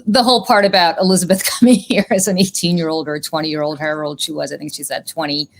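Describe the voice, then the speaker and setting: Low gravelly lady, russian-native, stumbly casual podcast chat. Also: steady tone, fast, a little hiss or hum. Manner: thinks aloud, stumbles and restarts. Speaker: podcast panelist.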